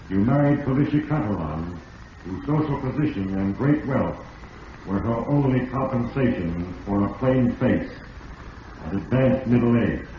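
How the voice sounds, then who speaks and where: A man's voice: mid-range voice, natural voice. Man acting as echoing, portentous voice of Fate. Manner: grave, portentous, deliberate delivery. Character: Fate, a solemn, implacable male narrator passing judgment. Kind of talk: 1940s radio drama narration. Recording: muffled, echoing old radio recording, distant, hissy.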